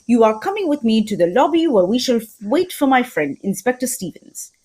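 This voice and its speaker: A fussy Belgian detective in an old-time radio mystery played by a woman, shrewd and courtly. The voice sits high and moves expressively up and down. Questions and deductions come out formal, deliberate and theatrical. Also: very animated, a little mellow, slightly rough. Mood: precise, courteous.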